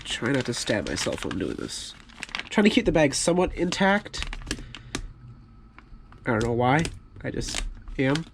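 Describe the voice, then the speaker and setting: Male host, stuffy with a cold, mid-pitched, laid-back and rambling as he walks through a fix. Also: unhurried, creaky, pauses often, noisy background. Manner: relaxed, rambling, self-deprecating. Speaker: hobby channel host. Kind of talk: casual repair walkthrough.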